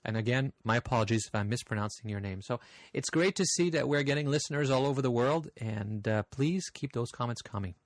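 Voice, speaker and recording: A man with a slight French accent who hosts a podcast, reading listener mail in easygoing, friendly chat with frequent pauses. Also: medium pitch. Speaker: podcast host.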